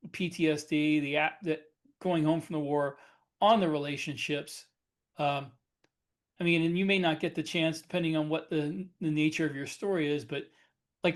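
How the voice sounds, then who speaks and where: Higher-pitched guy, chatty, laughs a lot while telling stories. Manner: chatty storyteller, laughs easily. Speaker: podcast co-host and author. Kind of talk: casual panel discussion.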